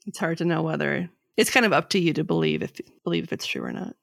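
Gravelly-voiced woman podcast host. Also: low voice, fast, no room sound, clean studio sound. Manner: reads notes aloud, says her voice is getting raspy. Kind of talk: casual podcast chat.

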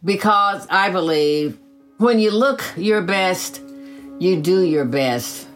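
A woman in her eighties tells her own story with a low, settled voice. She is gracious and measured and laughs softly now and then. Faint music sits beneath her. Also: lively intonation. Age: 80s. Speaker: retired teacher. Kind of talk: reflective personal storytelling.

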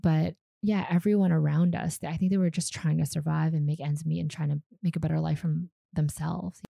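Woman, 30s, from Canada, Vietnamese first language; deep, warm, slightly gravelly, dry close sound, casual storyteller. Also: fairly quick, no room sound. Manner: relaxed, chatty, frequent fillers and small laughs. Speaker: guest.

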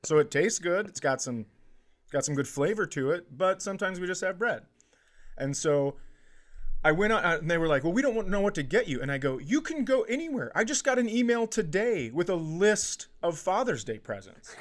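A middle-aged American man in casual comedic conversation, telling stories in an animated way and acting out what others say. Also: high-pitched, normal speed. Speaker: comedy podcast host.

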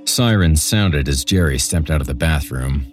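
A man's voice: extremely low pitch, expressive, normal speed. A man reading a scripted horror story as a podcast narrator, with music behind him.

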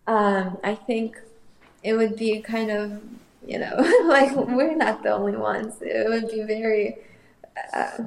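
A woman's voice: medium pitch, vocal fry. A woman from Russia, an artist guest, musing thoughtfully and hesitantly in a casual interview. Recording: roomy echoey sound.

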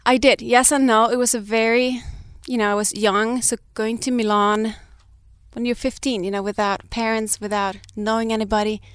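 A woman's voice: a little high, level tone, normal speed, smooth, no echo, clean studio sound. A woman in her thirties who grew up in Sweden, answering interview questions as a fashion brand founder, reflective and restarting her sentences. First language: Danish or Swedish. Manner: reflective, with frequent restarts and light laughs.

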